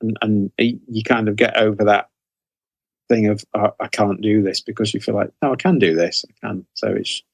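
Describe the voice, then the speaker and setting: A man's voice: lowish voice, steady tone, normal speed, vocal fry, breathy edge, dry recording. A middle-aged man chatting casually as an interview guest, informal and often hesitantly rephrasing himself. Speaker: guest author interviewed about writing career.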